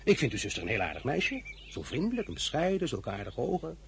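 An actor playing a young, ambitious and self-assured general in a radio play, assertive and blunt. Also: male voice, mid-range voice, huge pitch swings, quick pace, creaky, fairly bright tone, husky, exaggerated voice. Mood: persuasive. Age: young adult.

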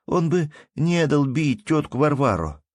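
A small peasant boy, tearful and pleading, pitched high above a grown man's voice, as a man reads him. The sound is dry and close. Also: big pitch swings, unhurried, no echo.

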